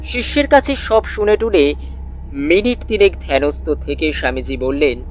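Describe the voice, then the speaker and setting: A man narrating a literary suspense story in a measured way, with deliberate pauses. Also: a little high, animated. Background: soft music.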